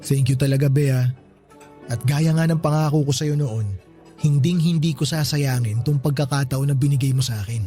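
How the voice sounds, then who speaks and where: A man's voice: medium pitch, steady tone, normal speed, warm tone, soft background music, lightly acted. An actor playing an affectionate, grateful boyfriend and young father in a radio drama. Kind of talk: Tagalog radio drama acting.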